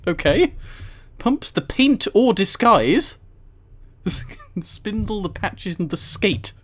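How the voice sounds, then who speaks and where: A man's voice: sits high, big pitch swings, slow, a bit bright. A man on a headset mic, reading aloud on a casual livestream with rambling, amused asides. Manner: amused, giggly, rambling commentary. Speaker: streamer reading a book aloud to live chat.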